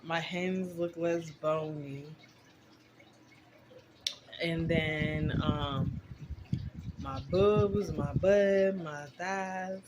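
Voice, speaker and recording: A woman's voice: sits low, steady tone, very slow, pauses often. A woman in her twenties chatting casually and candidly to her viewers, rambling in a conversational vlog style. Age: 20s. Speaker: vlogger. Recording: very noisy recording with clicks.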